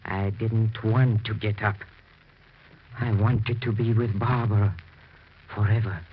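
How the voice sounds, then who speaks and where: An actor playing a mournful, weary man fixated on his dead beloved, in subdued, halting 1940s radio acting. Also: fairly low pitch, fairly even intonation. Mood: bitter grief. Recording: muffled, very noisy 1940s radio recording.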